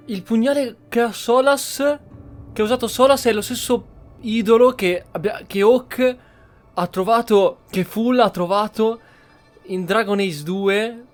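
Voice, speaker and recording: A man hosting a gaming channel, giving casual commentary as he plays. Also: sits high.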